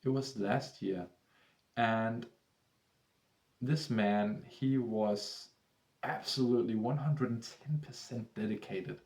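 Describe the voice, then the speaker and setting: A man with a Danish accent, a tutor speaking English as a second language and pitching one-on-one lessons to camera in a rambling, salesy way full of restarts. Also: takes it slowly, long pauses, darkish tone, roomy sound. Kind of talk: persuasive talk to camera.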